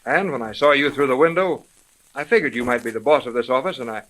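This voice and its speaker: A man's voice: mid-range voice, fast, fairly bright tone, natural voice, rough recording. An actor playing a cocky, eager young man with a brash, wisecracking manner, in 1930s radio drama style. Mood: earnest, pleading. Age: young adult.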